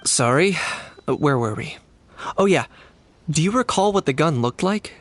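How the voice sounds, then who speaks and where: A man voicing a cool, composed young mercenary in a video game, calm, dry and understated. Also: fairly high pitch, normal speed. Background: soft game music.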